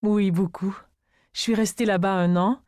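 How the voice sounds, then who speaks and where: A woman's voice: mid-range voice, steady tone, moderate pace, a little mellow, dry recording, clean studio sound, natural voice. A woman playing a confident young woman giving scripted answers in an interview. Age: young adult.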